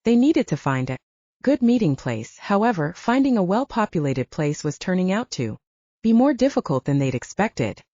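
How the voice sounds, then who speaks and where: A woman's voice: on the low side, sing-song, normal speed, warm tone, no room sound, dull audio. A woman narrating an audiobook novel in a scripted storytelling style.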